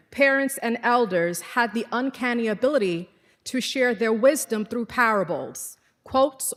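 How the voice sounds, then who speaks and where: A woman in her forties with a Trinidadian accent, speaking as commencement speaker in an earnest, measured and exhorting manner. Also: a little high, long reverb tail. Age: 40s. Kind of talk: formal commencement speech. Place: large hall.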